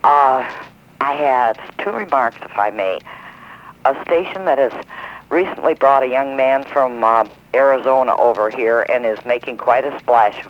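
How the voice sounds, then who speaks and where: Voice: mid-range voice, unhurried. A man calling a radio show with a casual comment over a muffled telephone line with some noise. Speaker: radio call-in listener.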